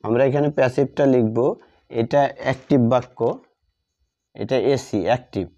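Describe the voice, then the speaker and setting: A man teaching a grammar lesson step by step, deliberately repeating phrases and answering his own questions. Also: mid-range voice, steady tone, mellow. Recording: dull lo-fi sound.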